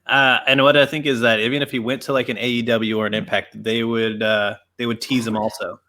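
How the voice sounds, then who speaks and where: A man's voice: medium pitch, flat delivery, fairly quick, sharp tone. Male podcast host, easygoing, keeps the rounds moving. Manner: announces matchups, calls on others by name. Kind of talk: casual chat among friends.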